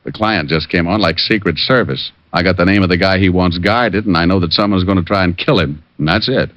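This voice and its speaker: An actor playing a wisecracking private detective with a dry sense of humor. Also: male voice, low-pitched, brisk, no echo, close to an everyday voice. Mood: wry. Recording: dull old radio recording.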